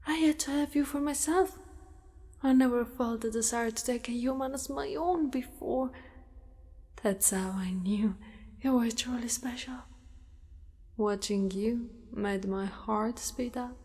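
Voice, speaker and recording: A woman voicing a possessive, doting female spider monster in an ASMR roleplay, with formal wording and a hushed delivery that often slips into whispers. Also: on the high side, a little slow. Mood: tender fascination. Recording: long reverberant echo.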